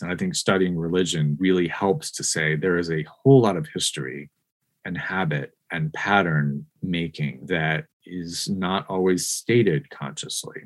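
A man speaking as an interviewed researcher in a thoughtful discussion, reflective and given to posing rhetorical questions. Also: sits low. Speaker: interviewed researcher on ethics of technology.

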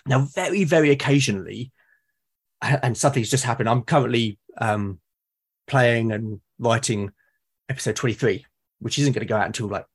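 A man's voice: mid-range voice, normal speed, husky. A man in a conversational interview as a podcast guest, explaining his creative process and often repeating words as he thinks aloud. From Britain.

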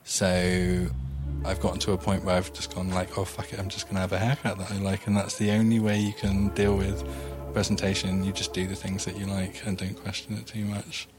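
A young man with a low, level voice that barely rises or falls, talking things through slowly and thoughtfully. He stays measured and plain-spoken, dropping in a blunt aside now and then. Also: monotone, over music. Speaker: interviewee. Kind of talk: reflective personal account.

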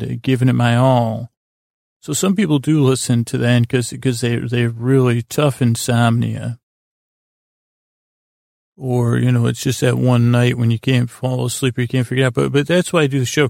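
A man with a heavily creaky, gravelly voice delivers a meandering bedtime podcast monologue. His pitch stays fairly even while he stammers and circles back on himself in a self-deprecating way. The sound is dry and close. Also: dry recording. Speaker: podcast host.

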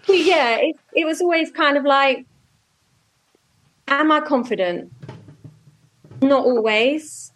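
A woman's voice: sits high, takes it slowly, long pauses. A woman from Norwich, chatting as a guest in an interview over a video call, telling stories and often correcting herself. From Norwich, England. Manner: chatty, self-correcting, animated storytelling. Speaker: guest, music media professional.

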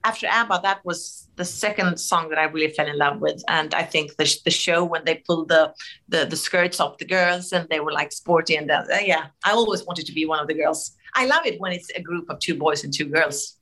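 Woman, Swedish accent, middle-aged, casual interview guest, animated storytelling, bright tense voice. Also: low-pitched, a little fast. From Sweden. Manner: animated, laughing, many fillers and restarts.

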